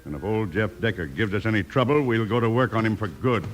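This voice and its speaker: An actor playing a scheming, domineering ranch boss in a radio Western, with a gruff American Western accent. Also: male voice, fairly low pitch, fast, slight background noise, natural voice. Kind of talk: old-time radio Western acting.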